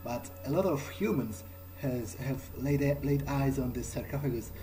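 A man thinking aloud in character as a vampire during a video game, in a halting, musing way. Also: medium pitch, mellow, soft background music. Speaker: gamer roleplaying in character. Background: video game ambience.

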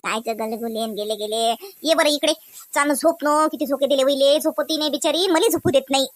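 Woman acting high-voiced chatty village-style housewife. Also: brisk, no echo, close to an everyday voice. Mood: playful scolding. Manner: chatty, colloquial, homely. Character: chatty homemaker wife and mother, fond, mildly grumbling. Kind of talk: conversational cartoon dialogue.

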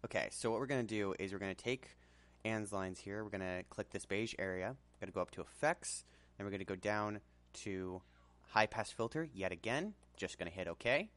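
Low-voiced man giving a casual tutorial. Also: a little fast, a bit bright. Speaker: tutorial presenter. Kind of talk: casual screen-recorded software tutorial.

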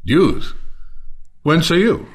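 Man as a lively, lordly Athenian nobleman. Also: a little high, takes it slowly. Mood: lordly, brusque. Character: lordly Athenian nobleman, brusque with creditors' servants. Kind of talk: unrehearsed reading of a Shakespeare play.

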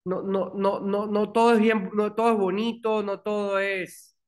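A man's voice: sits high, flat delivery. A man in his thirties hosts a casual interview from a small room at home, speaking over a video call. He talks in starts and stops, repeating words as he looks for the next phrase. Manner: halting, many restarts and repeated words. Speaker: podcast host.

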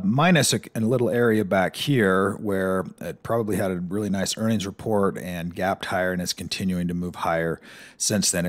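A man's voice: on the low side, dry recording. A man hosting a stock analysis lesson, talking casually through charts on screen, with frequent fillers and restarts.

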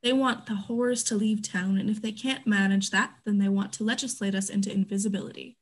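A woman playing a worldly, defiant brothel madam, measured and articulate in a dramatic monologue. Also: medium pitch, fairly even intonation, lightly acted. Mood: wary, defiant. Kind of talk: staged reading of a dramatic monologue. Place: indoors at home on a video call.

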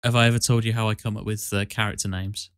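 A man with a fairly low voice talks casually on a podcast. His delivery is quite flat and even, with halting explanations, and the sound is dry and close. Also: smooth, no room sound. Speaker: podcast host and amateur voice actor.

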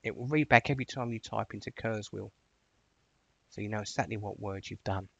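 A man narrating a step-by-step software tutorial in an informal, chatty instructional way. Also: lowish voice, a little fast, vocal fry, slightly rough, dry recording. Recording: dull, lo-fi, dry close recording.

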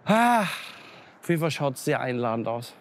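A man's voice: mid-range voice, normal speed, a little hiss or hum. A German man hosting a restaurant visit, talking casually and asking "ne?" a lot, recorded on a lapel mic. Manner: chatty, lively, frequent "ne?" tags. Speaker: TV restaurant critic host. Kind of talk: casual on-camera chat. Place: restaurant indoors and courtyard.

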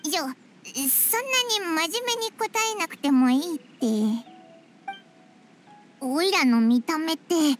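A woman voicing a tiny, cheeky fairy companion in an anime-style game, blunt and excitable. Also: high-pitched, takes it slowly, long pauses, soft background music. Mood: surprised, indignant. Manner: blunt, excitable, boyish wording.

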